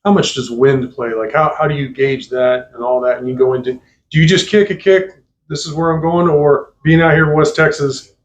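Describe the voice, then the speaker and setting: A man's voice: steady tone, moderate pace. A man interviewing a college athlete, asking loose, rambling questions in a casual sports chat. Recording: slightly dull recording with faint rumble.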